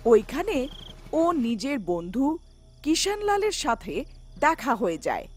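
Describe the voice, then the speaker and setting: A woman's voice: sits high, very animated, slow, a little hiss or hum. A woman narrating a scripted horror folktale as storyteller.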